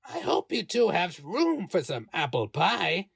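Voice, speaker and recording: Man putting on a creaky old witch woman, swooping wildly. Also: huge pitch swings, dry recording, studio quality, full character voice. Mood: sly, sinister. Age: older. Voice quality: creaky, raspy falsetto. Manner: slow, drawn-out, cackling. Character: sly old witch woman fattening children. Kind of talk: storybook character voice.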